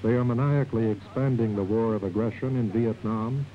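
A man's voice: mid-range voice, brisk. A man reading a scripted propaganda documentary narration in a formal, declarative manner. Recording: muffled, noisy old film soundtrack.

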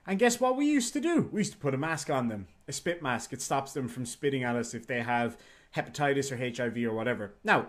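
A man in his thirties with an Irish accent, talking bluntly and profanely to a webcam in a room at home. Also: mid-range voice. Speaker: YouTuber. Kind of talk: casual vlog rant.

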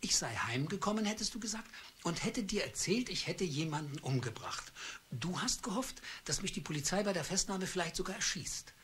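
An actor playing a bitter middle-aged husband who speaks in a cold, deliberate and accusing way in a radio play. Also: male voice, sits high, expressive, a bit bright, slight background noise. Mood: coldly accusing. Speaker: husband Charles.

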